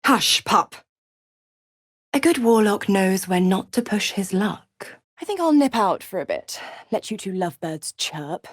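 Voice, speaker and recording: A woman's voice: medium pitch, very animated, measured pace, dry recording, studio quality. An actress voicing a seductive, menacing female devil in a video game, theatrical and condescending, savoring each word as she taunts. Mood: smug, teasing. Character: smug, seductive, menacing female devil, cruel mistress of pacts.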